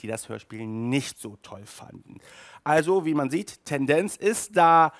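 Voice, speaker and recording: Man with a creaky, chatty voice. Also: mid-range voice. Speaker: host of an audio drama review show. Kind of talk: casual video review. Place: small furnished room indoors. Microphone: headset.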